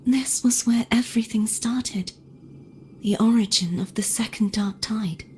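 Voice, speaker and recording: A woman playing a gentle, resigned, self-sacrificing young woman in a video game, speaking softly and breathily with solemn, wistful deliberation. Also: a little high, a little slow, slight background noise, close to an everyday voice. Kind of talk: dramatic video game voice acting.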